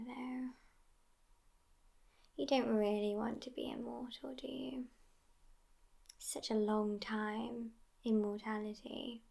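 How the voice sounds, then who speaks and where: A woman playing a sassy, world-weary immortal vampire girlfriend in a whispered ASMR roleplay, soft, breathy and intimate. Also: a little high. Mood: wistful, intimate.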